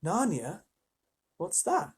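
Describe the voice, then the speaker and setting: A man's voice: sits high, big pitch swings, takes it slowly, breathy edge, exaggerated voice. A man voicing a polite, puzzled young girl who speaks simply and hesitantly in a storybook reading.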